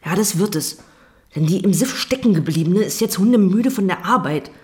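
A woman's voice: mid-range voice, animated, moderate pace, lightly acted. An actress playing a blunt, weary young working woman in a radio drama, colloquial and no-nonsense. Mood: fed up. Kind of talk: German radio drama acting.

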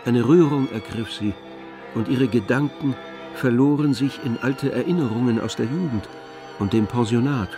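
A man narrating a literary radio drama in a measured, composed storytelling manner. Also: medium pitch, over music, lightly acted.

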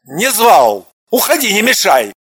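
A man voicing a jealous, hot-tempered husband who snaps and shouts at his wife. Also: sits high, wildly swooping pitch, unhurried, husky, pitched above the performer's own voice, exaggerated voice. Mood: furious. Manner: shouting, snapping, growling.

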